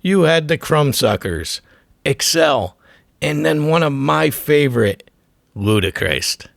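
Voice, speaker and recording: A man's voice: a little high, animated, slow pace, vocal fry. A man narrating a scripted music documentary as its host, delivering short, punchy, dramatic phrases. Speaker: host of a music history show.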